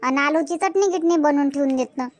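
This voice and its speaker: Woman as a very high-voiced young village wife. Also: a little fast, close to an everyday voice. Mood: agreeable, busy. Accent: Varhadi Marathi from Vidarbha. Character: young rural Marathi daughter-in-law and mother, busy, chattering. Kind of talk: amateur cartoon comedy dubbing in village dialect.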